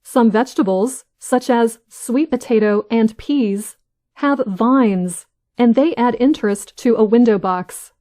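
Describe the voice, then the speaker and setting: Woman, news reader for learners, careful delivery, slightly high voice, very slow pace. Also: mellow. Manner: careful, evenly paced delivery. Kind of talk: slow, clear scripted reading.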